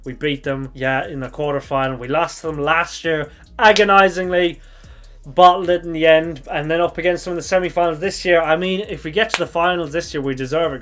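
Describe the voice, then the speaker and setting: A young man with a slightly croaky voice from shouting, chatting casually through a football reaction vlog, thinking aloud and correcting himself as he goes. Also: a little high. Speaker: football fan channel host.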